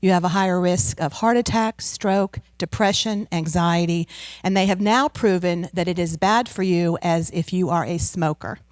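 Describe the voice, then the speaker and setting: A middle-aged American woman giving a loud, upbeat seminar talk as a guest speaker into a handheld microphone. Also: fairly low pitch, moderate pace, no echo. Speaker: guest speaker, travel business owner. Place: community center room.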